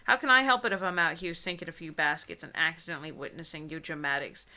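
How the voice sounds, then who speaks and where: A woman's voice: low-pitched, fast, sharp tone. A woman voicing a smug, needling teenage boy from a romance novel in a dramatic reading. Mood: smug teasing. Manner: cocky, needling.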